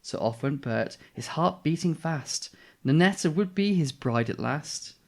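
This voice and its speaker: A man's voice: a little high, expressive, unhurried. A man reading a children's story aloud expressively, as the host of a read-aloud. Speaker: storybook reader, channel host.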